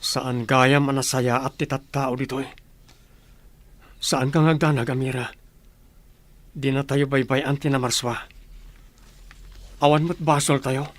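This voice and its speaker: A man's voice: mid-range voice, steady tone, measured pace, long pauses, natural voice. An actor playing a respectful, dutiful village man in an Ilocano radio drama. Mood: reassuring.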